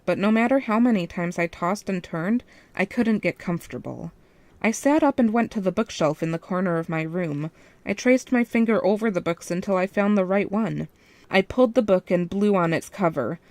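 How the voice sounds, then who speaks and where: A woman's voice: on the low side, a little mellow, no echo. A woman reading a first-person fan fiction story as its narrator.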